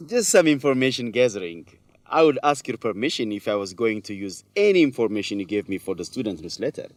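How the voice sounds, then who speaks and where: An actor playing an earnest young student reporter, polite but probing, in a radio drama for English learners. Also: male voice, on the low side, sing-song, fast, dry recording. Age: young adult.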